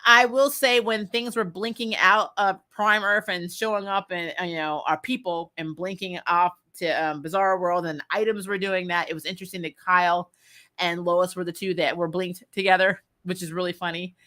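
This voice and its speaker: A woman giving casual, opinionated commentary as a show host, chatty and animated, with blunt, slangy phrasing. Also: on the low side, normal speed.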